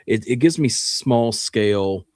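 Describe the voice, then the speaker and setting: A man co-hosting a radio show, offering casual commentary in a measured, reflective way. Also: medium pitch, expressive, darkish tone, dry recording, clean studio sound.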